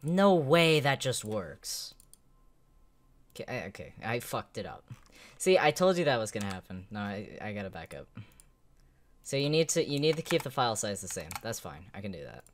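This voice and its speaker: A young man giving a casual, sweary live reaction as a game streamer, with an excitable, slangy manner. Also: lowish voice, normal speed, slight background noise. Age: young adult. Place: indoors, small home room.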